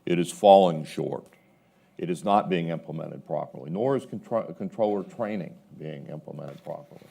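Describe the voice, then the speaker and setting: A man with a very low-pitched, dark and mellow voice speaks as a panelist. He argues his case in a measured but emphatic way, setting out his points like someone citing evidence. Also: gravelly, slightly rough. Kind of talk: panel discussion, arguing a point. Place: live panel event before an audience.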